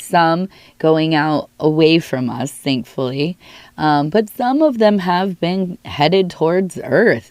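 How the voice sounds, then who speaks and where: A woman with a hoarse, worn voice from illness gives a soft-spoken tea leaf reading, musing and reassuring as she speaks straight to the listener. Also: deep voice, no echo. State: hoarse, losing her voice from illness.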